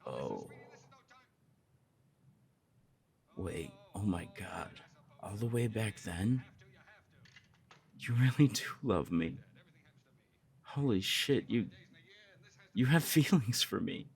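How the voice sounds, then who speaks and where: A man playing a lovesick, earnest young punk roommate in an intimate ASMR romance roleplay, speaking in a halting way. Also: fairly low pitch, big pitch swings, gravelly, full character voice. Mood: flustered, amazed.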